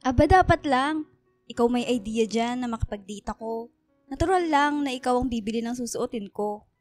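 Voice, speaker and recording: A woman's voice: fairly high pitch, normal speed, darkish tone, faint music behind, natural voice. A woman with a Filipino accent playing a sassy, reluctant young single woman in a radio drama. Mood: mock-annoyed.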